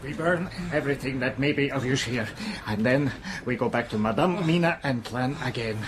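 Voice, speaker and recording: A man's voice: mid-range voice, normal speed, background noise, close to an everyday voice. An actor playing a solemn, fatherly professor who hunts vampires in a gothic audio drama, speaking in stilted phrases. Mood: grave.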